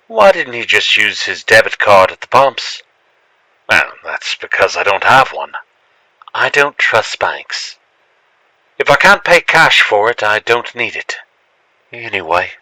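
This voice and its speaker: A man narrating a scripted first-person story in a dramatic, expressive storytelling manner. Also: fairly low pitch, dry recording, poor audio. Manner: expressive storytelling, acts out the lines.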